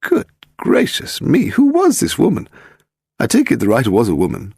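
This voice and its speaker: A male reader plays a scholarly gentleman of sixty-odd in an audiobook story. His delivery swings widely in pitch, with a creaky edge and donnish, exclamatory phrasing. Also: wildly swooping pitch, normal speed, no room sound, studio quality, heavily acted. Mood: astonished, intrigued. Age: 60s. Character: distinguished male historian of sixty-three, donnish, easily delighted.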